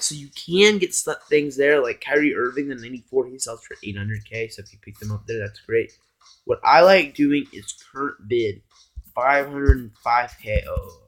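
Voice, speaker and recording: A hoarse man giving casual, rambling gameplay commentary as a YouTuber, often addressing his viewers as guys. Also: mid-range voice, poor audio. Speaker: gaming YouTuber.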